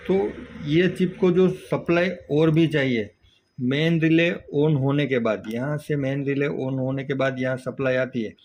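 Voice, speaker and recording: A man's voice: medium pitch, darkish tone. A man with an Indian accent giving a hands-on technical tutorial as a repair instructor, explaining step by step and often checking in with the learner. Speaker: auto electrical repair instructor. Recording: dull lo-fi sound, faint background noise.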